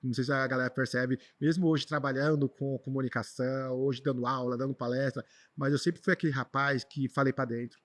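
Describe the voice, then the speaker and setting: A man's voice: medium pitch, little pitch movement, fairly quick, few pauses. A Brazilian man telling personal stories in an informal, emphatic way as a podcast guest, often repeating words for stress. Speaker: podcast guest, traveler and speaker. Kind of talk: conversational personal storytelling.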